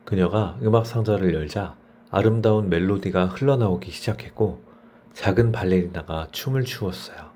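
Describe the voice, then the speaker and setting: A man's voice: deep voice, normal speed, mellow. A man narrating a bedtime story for children as a storyteller.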